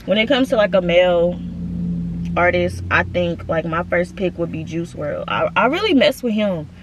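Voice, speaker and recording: A woman's voice: on the low side, lively intonation, normal speed, noisy background. Chatty young woman vlogging from a car. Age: 20s. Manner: chatty, slangy, addresses viewers as y'all. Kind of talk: casual chat to camera. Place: inside a parked car.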